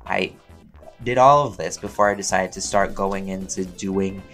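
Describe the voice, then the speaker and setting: A man chatting casually and playfully as he answers fan questions, rambling along in an expressive way. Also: sits low, moderate pace, faint music behind. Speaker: voice actor and audio roleplay creator answering fan questions.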